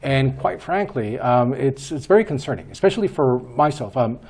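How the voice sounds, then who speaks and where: Man in his 50s, from Peru, interview guest, measured and hesitant, mid-pitched. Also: expressive, a little mellow, slightly rough. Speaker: guest, school board candidate. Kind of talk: interview answers.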